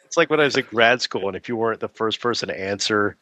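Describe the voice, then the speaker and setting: A man with a bright, crisp-edged voice talks as a podcast guest in a casual interview. He is wry and articulate, and the recording is close and dry. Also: fairly low pitch, fast talker, no echo.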